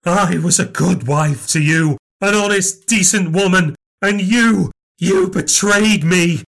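A man voicing a bitter, scheming old grandmother who is shrill, indignant and cutting. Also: sing-song, slow, vocal fry, fairly bright tone, exaggerated voice. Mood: furious. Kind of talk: audiobook character voice.

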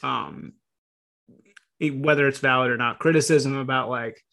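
Rambling, animated male podcast host. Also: mid-range voice, normal speed, creaky. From Chicago, US Midwest. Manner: rambling, animated, many fillers and restarts. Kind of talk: casual conversational interview.

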